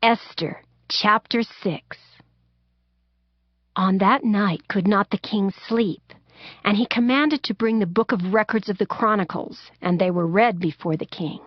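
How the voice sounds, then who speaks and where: A woman's voice: medium pitch, a little slow, dry recording, dull audio. A woman reading scripted scripture narration for an audiobook. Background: faint music.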